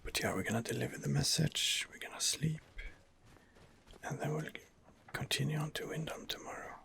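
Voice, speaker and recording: A man giving hushed ASMR game commentary in a breathy whisper, musing hesitantly to himself as he plays. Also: a little high, moderate pace. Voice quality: breathy whisper with gravelly creak. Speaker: gameplay host.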